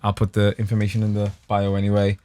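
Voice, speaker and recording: A man's voice: fairly low pitch, monotone, quick pace, warm tone, no echo. A man, a podcast host in casual, laid-back chat.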